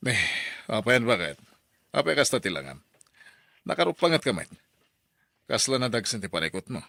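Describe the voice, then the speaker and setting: An actor playing a defensive, irritable cheating husband in a radio drama, with an Ilocano accent. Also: male voice, mid-range voice, big pitch swings, fairly quick, creaky, pushed and bright, no echo, clean studio sound, exaggerated voice. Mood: irritated, defensive.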